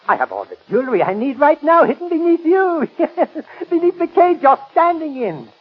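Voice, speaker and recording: High-pitched, deranged radio villain. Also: male voice, sing-song, normal speed. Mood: gloating, manic. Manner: taunting, theatrical, cackling. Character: gloating, deranged male animal-trainer villain. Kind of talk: 1930s radio drama acting. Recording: dull lo-fi 1930s radio recording.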